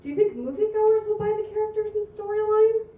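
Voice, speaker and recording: A woman's voice: very high-pitched, brisk, recorded from a distance. Woman playing blunt young producer, tinny and echoey. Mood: businesslike, doubtful. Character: exasperated young female movie producer, blunt and impatient. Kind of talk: amateur script table read.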